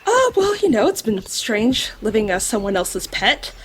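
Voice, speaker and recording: A woman voicing a loyal, gentle talking dog in an audio drama, calm and reassuring with a husky voice. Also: a little high, moderate pace, slight background noise. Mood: polite, dry.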